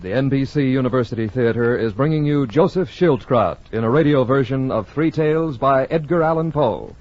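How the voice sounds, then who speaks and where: A man with an American accent reading a scripted announcement in 1940s radio style. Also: mid-range voice. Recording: muffled 1949 radio broadcast.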